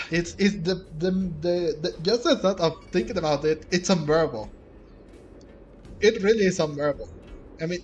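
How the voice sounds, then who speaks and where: A man giving casual let's play commentary, haltingly, repeating words as he searches for phrasing. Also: high-pitched, slight background noise, rough recording. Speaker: gaming streamer.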